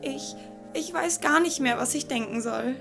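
A high-pitched teenage schoolgirl voiced by a woman speaks politely with an anxious, hesitant edge. It is audio-drama acting over faint music. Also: moderate pace, vocal fry. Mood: anxious, shaken.

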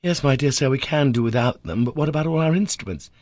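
A worried young man crying out questions, as a male reader voices him. The voice sits higher than the reader's own and sounds close and dry. He sounds anxious and amazed in a spoken storybook. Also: fast, darkish tone, dry recording, close to an everyday voice.

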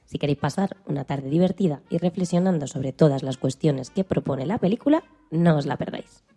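Deep, mellow female radio co-presenter. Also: expressive, brisk, husky, faint music behind.

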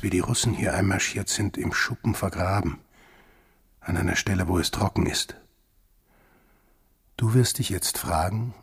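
A haunted Austrian man in a radio play, bitter and heavy with guilt. His deep voice is breathy and raspy, grinding into fry as he broods in slow, hushed phrases. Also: exaggerated voice. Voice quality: husky, gravelly, breathy. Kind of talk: radio drama acting.

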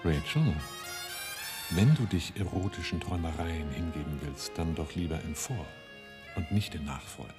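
An actor playing a wry, unflappable smuggler and husband in a German radio play, dry and mocking. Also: male voice, sits low, animated, normal speed, over music. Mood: wry, teasing.

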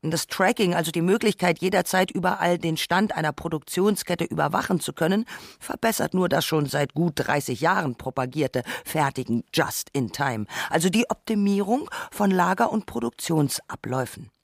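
A man's voice: on the high side, lively intonation, normal speed, gravelly, dry recording. A man narrating a scripted radio essay, arguing his points with dry irony.

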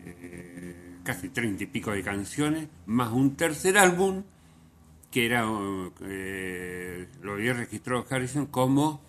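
A man hosting a radio show, telling music stories unscripted in a halting way, repeating phrases for emphasis. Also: mid-range voice, lively intonation, fairly bright tone, slight background noise. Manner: halting, with frequent repetition for emphasis. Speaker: radio host.

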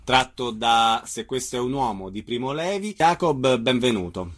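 A man working as an interviewer, putting measured questions to a guest. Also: mid-range voice, animated, a bit bright. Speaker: radio interviewer.

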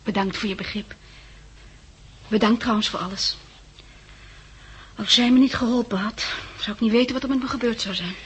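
An actress playing a poised, candid young society woman in a 1960s Dutch radio drama, soft and playful, with a Netherlands accent. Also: on the high side, moderate pace, long pauses, breathy edge. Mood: warm, companionable. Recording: vintage 1960s radio recording.

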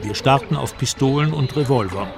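A male traveller played by an actor narrates his expedition in a radio play. The voice is medium in pitch and factual, set over clear music. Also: a little fast, close to an everyday voice. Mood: observant, measured. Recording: music behind the voice.

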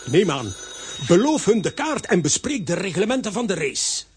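Pompous middle-aged braggart, high voice, animated swoops, wheedling, children's audio drama. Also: male voice, moderate pace, background noise. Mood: smug. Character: vain, blustering, teasing middle-aged comic adventurer.

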